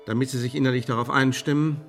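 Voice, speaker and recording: An actor playing a calculating intelligence official in a radio play, speaking in a formal, measured, officious way with quiet menace. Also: male voice, mid-range voice, fairly even intonation, soft background music, lightly acted. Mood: coolly menacing.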